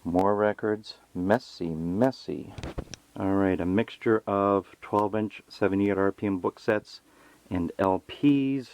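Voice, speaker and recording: A man's voice: lowish voice, takes it slowly, a little mellow. A man casually narrating a home video tour as a collector, with wry, self-deprecating asides. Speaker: record collector presenting a collection. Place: indoors at home.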